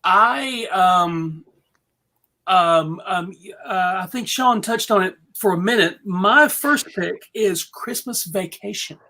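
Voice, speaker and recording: A woman on a panel chatting casually about films, halting and often searching for words. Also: mid-range voice, sharp tone.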